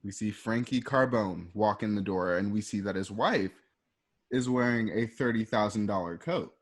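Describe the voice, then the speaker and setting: A man narrating a scripted radio play, setting each scene in a measured present-tense delivery. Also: fairly low pitch.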